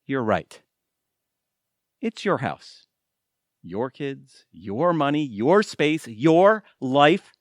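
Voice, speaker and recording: A man's voice: mid-range voice, sing-song, extremely slow, pauses often, no echo, clean studio sound. A man acting in an audio drama as a wounded, sarcastic middle-aged husband who feels pushed around. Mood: bitter, fed up. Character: aggrieved, sarcastic middle-aged husband, former Vegas performer.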